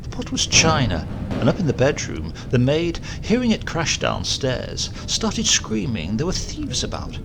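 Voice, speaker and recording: A man narrating a comic story with measured, wry delivery. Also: on the high side, huge pitch swings, vocal fry, noisy background. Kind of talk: scripted narration of a comic tale.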